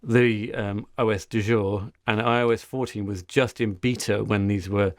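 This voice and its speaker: A man's voice: lowish voice, steady tone, normal speed, no room sound. Casual man telling a rambling story, dry recording. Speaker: podcast co-host. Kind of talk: casual tech podcast chat, storytelling.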